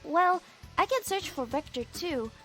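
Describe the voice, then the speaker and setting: A woman's voice: very high voice, animated, a little slow, sharp tone, soft background music, exaggerated voice. An actress voicing a young, girlish, diligent computer whiz in a visual novel game. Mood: matter-of-fact.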